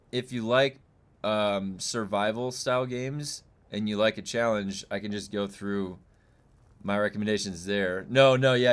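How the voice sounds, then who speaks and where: Loud-voiced man rambling on a stream. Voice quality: loud booming voice. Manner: rambling, loose and profane, many fillers. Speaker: game streamer. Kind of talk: casual live-stream chat. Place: home room.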